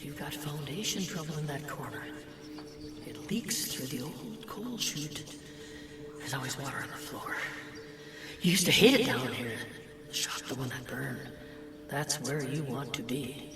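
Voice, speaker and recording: A man's voice: a little high, expressive, measured pace. An actor voicing a mocking, insinuating inner voice that needles an old man in an audio drama. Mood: sly, accusing. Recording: roomy echo, music underneath.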